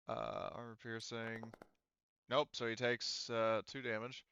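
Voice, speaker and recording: A man narrating and calling rules as game master of a tabletop roleplaying game, in a casual, halting way with trailing thoughts. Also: medium pitch, a bit bright, smooth, no echo, highs cut off.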